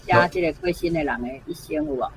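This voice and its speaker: A woman's voice: low voice, huge pitch swings, quick pace, gravelly, background noise. A woman teacher with a Taiwanese accent explaining word usage conversationally, often checking with tag questions. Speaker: language teacher.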